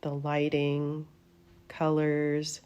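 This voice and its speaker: Woman leading a guided meditation, really slow and soothing, soft dark mellow voice. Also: deep voice, monotone, smooth, highs cut off. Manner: soothing, gentle, unhurried phrases with trailing endings. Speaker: yoga nidra meditation teacher.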